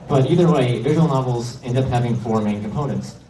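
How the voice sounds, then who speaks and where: A man's voice: medium pitch, a little fast, warm tone. A man giving an informal, slightly hesitant slide presentation to an audience as a panel speaker. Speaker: convention panel presenter, indie game developer. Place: indoor convention panel room. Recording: echoey room, distant mic, heavy noise.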